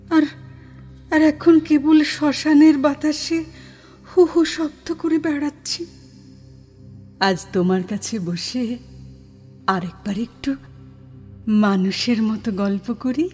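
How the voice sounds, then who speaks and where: A breathy, wistful female ghost. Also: sits high, a little mellow. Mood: wistful, plaintive. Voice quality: sweet-voiced, breathy. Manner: slow, plaintive, dramatic pauses. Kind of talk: Bengali audio horror drama acting. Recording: music under the voice.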